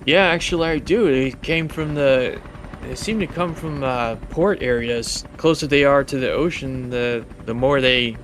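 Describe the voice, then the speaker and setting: A man's voice: mid-range voice, measured pace, a little hiss or hum, pitched above the performer's own voice, natural voice. A man voicing a casual, matter-of-fact helicopter pilot in a tabletop game, hedging as he shares what he knows.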